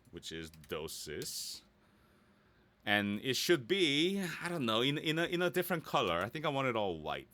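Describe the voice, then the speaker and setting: A man giving a casual, easygoing software tutorial, thinking aloud and muttering small asides as he works. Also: on the low side. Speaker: voice actor giving a website-building tutorial.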